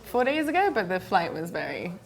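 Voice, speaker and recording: A young woman answers an interviewer's questions, tired from a long trip and circling her words with fillers. Her voice sits low and carries a gravelly fry. She talks haltingly, piling up "like" and "um". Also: very animated. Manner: hesitant, many fillers and "like". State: tired from travel. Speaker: filmmaker guest.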